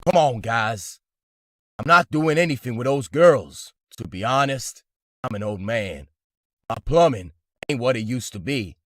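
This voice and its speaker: A man's voice: medium pitch, expressive, a little slow, no echo. An actor playing a weary, widowed old retired salesman, mild and plainspoken, in a comic dub. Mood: mildly defensive. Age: older. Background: loud background music.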